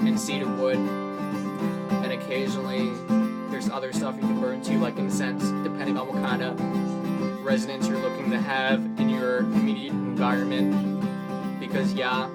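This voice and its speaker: A man's voice: mid-range voice, unhurried, pauses often. A man hosting a casual live question-and-answer stream on his phone, rambling loosely with lots of "like" fillers. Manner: rambling, relaxed, many "like" fillers. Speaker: livestream host answering viewer questions. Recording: loud guitar music behind voice. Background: acoustic guitar playing.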